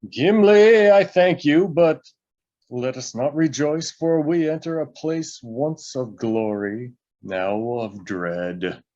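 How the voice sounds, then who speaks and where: Man playing a slow, solemn old wizard. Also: a little high, dark tone, dull audio. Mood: grave, commanding. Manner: solemn, measured, with pauses. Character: weary, commanding old male wizard, grave and foreboding. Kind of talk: table read of a fantasy film script.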